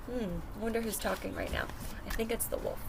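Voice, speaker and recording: Lively woman reading to young children. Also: mid-range voice, brisk, gravelly, background noise. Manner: simple explanatory talk, asking and answering questions. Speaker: teacher reading aloud to children. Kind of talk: picture-book read-aloud with questions to young children.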